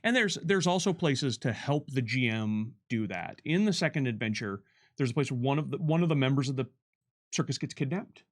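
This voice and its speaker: A man working as a game developer, talking casually on a livestream panel and answering questions in an explanatory, halting way as he thinks aloud. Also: mid-range voice, lively intonation.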